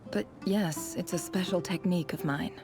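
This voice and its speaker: An actress playing a sly, commanding woman boss in a video game, with a deliberate, sardonic delivery. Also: on the low side, over music, natural voice. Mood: cool, measured. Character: sly, commanding woman boss, coolly teasing and self-assured.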